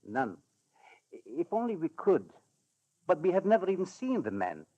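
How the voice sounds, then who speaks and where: An actor playing a stern, smugly courteous Balkan government official in 1940s radio drama, speaking formally and with emphasis. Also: male voice, high-pitched, huge pitch swings, dark tone, heavily acted. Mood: stern, insistent. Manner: formal, emphatic, hesitant pauses. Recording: dull old radio recording.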